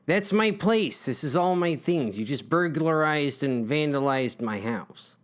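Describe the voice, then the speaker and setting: An irritable burglar from a comic story, as a narrator acts him out. He is curt and exasperated with everyone, and his pitch swings about as he complains. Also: lively intonation, unhurried, natural voice. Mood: indignant. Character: Harry, impatient irritable burglar man with job.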